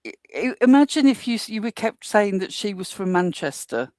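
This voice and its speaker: A woman with a Midlands English accent holds her ground in a heated panel discussion. She explains herself at length in a measured, defensive way. Her voice sits at a middle pitch, and the sound is close and dry. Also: very animated, a little mellow, dry recording. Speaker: panel guest.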